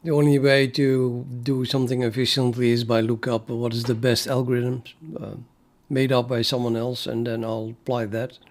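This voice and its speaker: A man's voice: medium pitch, flat delivery, moderate pace, few pauses. A man from the Netherlands with a Dutch accent, a native Dutch speaker, chatting casually on a coding stream and thinking aloud with many fillers and restarts. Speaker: programmer streaming his coding. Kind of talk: casual live-stream chat.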